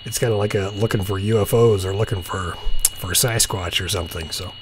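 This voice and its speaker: Middle aged guy, kinda deep voice, low-key hobby chat, some hum and noise in the room. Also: fairly even intonation, a little fast. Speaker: hobbyist video host. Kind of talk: casual chat while demonstrating radio software. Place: small indoor home room. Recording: humming, clearly noisy home recording.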